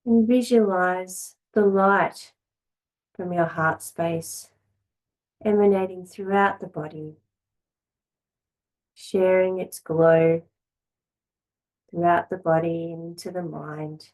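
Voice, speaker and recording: Slow, gentle woman guiding yoga relaxation. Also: lowish voice, low-quality recording. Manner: calm, gentle, unhurried, with long pauses between short phrases. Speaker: yoga teacher. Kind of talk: guided relaxation and yoga class instruction.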